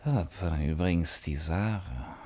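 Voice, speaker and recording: A man's voice: deep voice, measured pace, a little mellow. An actor playing a restless, eccentric, brooding man in a theatrical 1950s radio play, musing to himself and impulsively flirtatious. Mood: hushed reminiscing. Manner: theatrical, shifting between muttered musing and urgent address. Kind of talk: 1950s German radio play acting, interior monologue.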